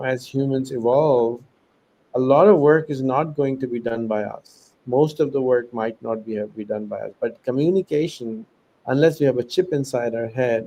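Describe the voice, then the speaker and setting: Earnest man with a mellow accented voice. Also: medium pitch, lo-fi sound. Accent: non-native English, American-leaning. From Pakistan. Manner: earnest, restarts and fillers, explains with lists. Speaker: podcast guest, education founder. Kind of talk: casual interview talk.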